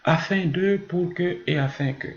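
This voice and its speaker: A man's voice: high voice, measured pace. A man teaching a grammar lesson, explaining didactically with examples and answering his own questions. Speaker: French teacher. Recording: muffled, roomy, echoing sound.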